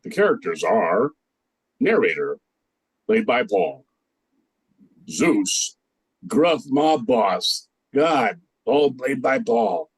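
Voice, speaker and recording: An older man playing the narrator of a radio play, setting out the scene in a measured scripted style. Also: medium pitch, very animated, slightly rough, dry recording, heavily acted, rough recording. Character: measured male storyteller narrator.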